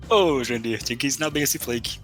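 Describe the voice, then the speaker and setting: A man's voice: medium pitch, quick pace, a bit bright, faint music behind. A man with a Brazilian accent in casual podcast banter, teasing his co-host with mock questions. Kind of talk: casual chat looking back on a role-playing campaign.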